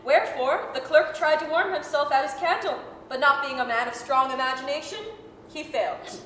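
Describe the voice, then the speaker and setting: A woman narrating a story dramatically, with emphatic, deliberate phrasing. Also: high-pitched, pushed and bright. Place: performance hall stage. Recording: echoey hall with reverb, faint noise.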